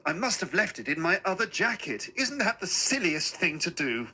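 A man voicing a gentle, forgetful little old gentleman in a story, pleading in a courteous, old-fashioned and flustered manner. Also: high-pitched, very animated, normal speed, slightly rough, voice pushed up, full character voice. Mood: courteous, sheepish.

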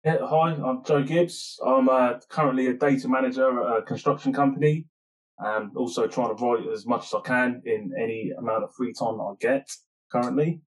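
A man's voice: mid-range voice, fairly even intonation, moderate pace, rough recording. A British man, a writer being interviewed, explaining himself casually in a loose, rambling, self-correcting way.

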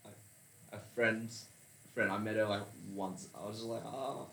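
A man's voice: lowish voice, moderate pace, fairly bright tone, slight background noise. A young man around nineteen chatting casually, reacting easily and laughing along with his friend. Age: young adult. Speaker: co-host. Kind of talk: casual chat. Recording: echoey room.

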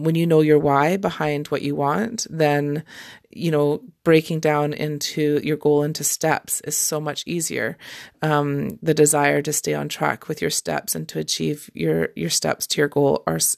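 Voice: medium pitch, gravelly. A life coach talking informally and encouragingly on a solo podcast, repeating key words for emphasis.

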